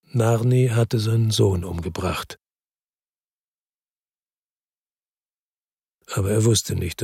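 Husky, gravelly, world-weary crime hero. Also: male voice, on the low side, darkish tone, no room sound, studio quality, full character voice. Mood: bitter, shaken. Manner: terse, brooding delivery. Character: world-weary, brooding middle-aged male crime-story hero. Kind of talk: radio drama narration and dialogue.

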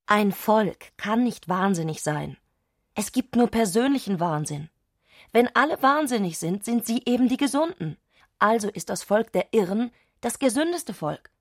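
A woman's voice: medium pitch, animated, moderate pace, no room sound. Radio play role: fervent, eccentric woman, insistent and declarative, mid-range voice, very dry sound. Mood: fervent, assertive. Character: Clarisse, fervent, eccentric woman obsessed with madness. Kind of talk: literary radio drama acting.

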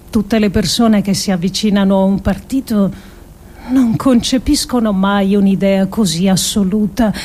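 Female actor, higher-pitched voice, slow and emphatic theatrical radio monologue with weighted pauses. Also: dark tone. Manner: deliberate, emphatic delivery with dramatic pauses. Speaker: actress reading adapted essay.

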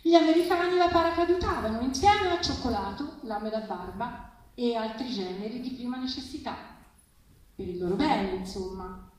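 A man's voice: very high voice, moderate pace. A woman voicing a stubborn, patronizing old grandfather from a story, talking in a colloquial, insistent, dismissive way. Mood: disgusted, insistent. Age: older. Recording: very echoey, distant, faint noise.

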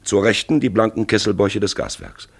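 A man playing a brooding, probing narrator in a 1950s radio play, with emphatic phrases and hushed asides. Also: fairly low pitch, sing-song, brisk, heavily acted. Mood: intense, reflective. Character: brooding male narrator-guide, probing and dramatic. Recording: husky-sounding 1950s radio recording.

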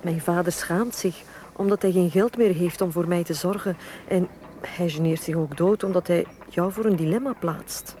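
A woman's voice: lowish voice, normal speed, warm tone, a little hiss or hum, lightly acted. An actress playing a proud, vulnerable young small-statured Venetian woman in a radio drama, speaking frankly and earnestly with a wistful edge. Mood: frank, wry. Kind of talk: Dutch-language radio drama acting.

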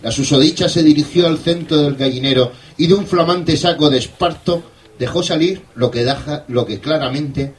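A man's voice: fairly high pitch, normal speed, lightly acted. A man narrating a comic radio play in a mock-formal, precise storytelling manner.

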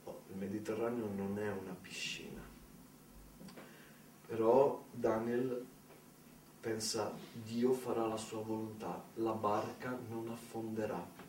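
A man performing a dramatic theatrical storytelling monologue, emphatic, with deliberate pauses and repetitions. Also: on the low side, steady tone, a little mellow. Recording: roomy, distant mic, faint background noise.

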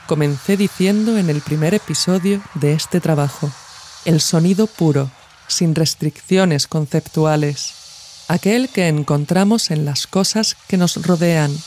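A woman from narrates a scripted, reflective audio essay in a measured, formal way, as a podcast host. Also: deep voice, mellow, slight background noise.

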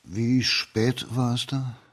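A man in a radio drama playing a shrewd judge of old China, measured, formal and courteously commanding. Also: medium pitch, level tone. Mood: formal, probing.

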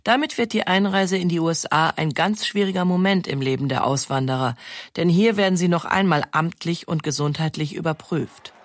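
A woman narrating a documentary in a measured, even storytelling manner. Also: fairly low pitch, no echo.

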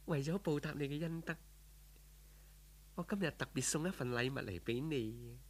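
A man voicing a gentle, solemn female spirit in a Cantonese folk tale, speaking formally and with calm authority. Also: fairly high pitch, normal speed, lightly acted. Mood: calm, instructive. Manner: solemn, formal, calmly instructive. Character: serene, gentle female spirit turned heavenly disciple. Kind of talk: audiobook folk tale character.

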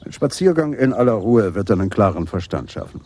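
An actor playing a weighty older police adjutant, dry and measured, with a husky voice in a German radio play. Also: male voice, lowish voice, very animated, darkish tone. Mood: musing. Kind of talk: German radio drama dialogue.

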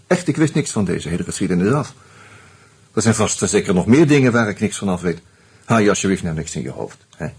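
An actor playing an easygoing amateur sleuth who talks hesitantly and narrates wryly in a Dutch radio drama. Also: male voice, deep voice, big pitch swings, fast, a little mellow, breathy edge, full character voice. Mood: apologetic. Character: Hans, easygoing amateur sleuth and wry first-person narrator.

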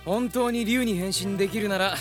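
High-voiced young soldier. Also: male voice, normal speed, a bit bright, faint music behind. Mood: teasing. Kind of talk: Japanese radio drama acting.

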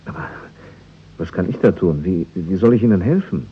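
A man's voice: sits low, expressive, moderate pace, breathy edge, muffled recording, heavily acted. A man acting a dry, sceptical newcomer in a 1960s German radio play. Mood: reserved, dry. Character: sceptical, dry male newcomer drawn into an affair.

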